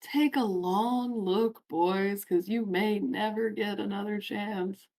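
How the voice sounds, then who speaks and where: A woman playing a coy, slow-talking shopkeeper. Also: mid-range voice, gravelly, a little mellow, a little hiss or hum, rough recording. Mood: teasing. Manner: coy and bargaining. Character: shrewd, teasing woman shopkeeper.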